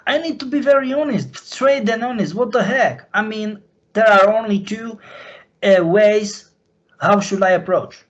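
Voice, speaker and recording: A man from Slovakia with a Slovak accent, a native Slovak speaker, talking off the cuff and confessionally in halting, repetitive, broken phrases. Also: sits high, highs cut off. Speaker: recurring guest on a question-and-answer show. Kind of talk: unscripted, confessional talk.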